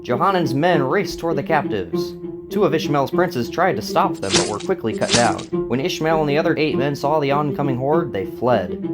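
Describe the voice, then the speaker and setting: A man's voice: on the high side, expressive, moderate pace, loud music behind the voice. A man hosting and narrating a scripted dramatized story. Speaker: narrator and host.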